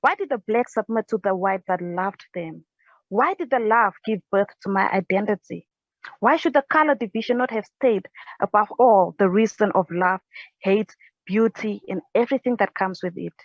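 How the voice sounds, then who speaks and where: A young woman from South Africa reciting her own spoken word poem at home on a couch, earnest and halting, with restarts, into an earphone mic. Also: medium pitch, dry recording. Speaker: poet. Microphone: earphone headset mic. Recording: dry, dull lo-fi sound.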